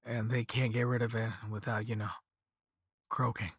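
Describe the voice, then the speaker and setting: A man's voice: mid-range voice, a little slow, fairly bright tone, breathy edge, no echo, studio quality. A man voicing a flippant, easygoing young rich slacker in a story, with a casual slangy drawl and sarcastic half-apologies. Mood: matter-of-fact. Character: flippant, laid-back young rich slacker on a phone call.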